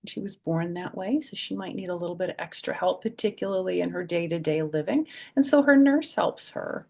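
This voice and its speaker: A woman hosting a children's storytime, reading a picture book aloud and adding chatty, simple explanations for young listeners, with a husky, creaky voice. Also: on the low side, a little fast, mellow.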